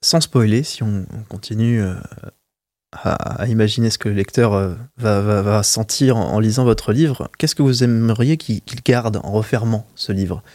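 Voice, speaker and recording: A man's voice: on the low side, normal speed, a little mellow, no echo, clean studio sound. Friendly man hosting a radio interview. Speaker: radio host.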